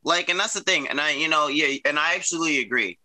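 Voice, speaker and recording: A man's voice: a little high, sharp tone. A man chatting casually as host, agreeable and rambling a little. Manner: agreeable, quick affirmations, rambling. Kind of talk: casual chat.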